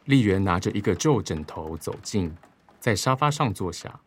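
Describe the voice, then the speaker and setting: A man narrating a radio drama from a script, measured and dramatic. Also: low voice, lively intonation, breathy edge. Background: music.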